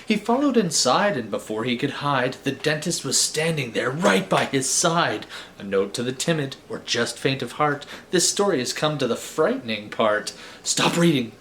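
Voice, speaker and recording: A man's voice: mid-range voice, big pitch swings, moderate pace, a bit bright, slight background noise. A man hosting a storybook read-aloud in a wry, theatrical way, with casual asides. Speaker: host reading stories aloud.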